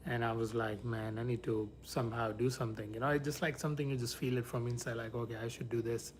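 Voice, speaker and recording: A man from India casually hosting a livestream, chatting loosely with viewers, sometimes dropping to soft whispers. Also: mid-range voice, flat delivery, fast, a little hiss or hum. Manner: rambling, shifts to soft whispers near sleeping baby. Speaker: livestream host.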